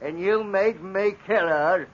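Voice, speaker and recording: A man's voice: high voice, slow pace. An actor playing a confused, simple-minded male servant in a 1940s radio drama, pleading and lashing out bitterly. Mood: anguished, confused. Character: simple-minded, tormented male servant after an old injury. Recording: dull old radio broadcast recording with faint hiss.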